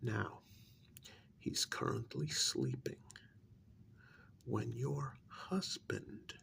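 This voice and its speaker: Gravelly, half-whispering stern male covert-operation boss played by a man. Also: mid-range voice, big pitch swings, a bit bright, exaggerated voice. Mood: stern instructing. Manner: deliberate, halting, menacingly emphatic, repeats words for weight. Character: stern, exasperated male handler running a covert containment operation. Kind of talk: soft-spoken ASMR role-play, half whispered.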